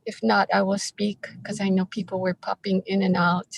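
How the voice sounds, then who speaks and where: A woman's voice: medium pitch, flat delivery, moderate pace, gravelly. A woman from Hawaii making casual remarks on a video call. She hesitates often, with many ums.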